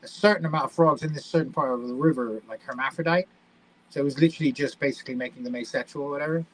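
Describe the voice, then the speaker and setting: A man's voice: medium pitch, rapid-fire, a bit bright, low-quality recording. A man from Egypt co-hosting a casual chat show in a blunt, wry, profane manner. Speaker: talk show co-host.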